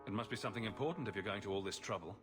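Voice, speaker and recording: A man's voice: fairly low pitch, racing, over music, natural voice. An actor playing a wry, skeptical male adventurer in a fantasy video game, blunt and sardonic. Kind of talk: video game dialogue acting.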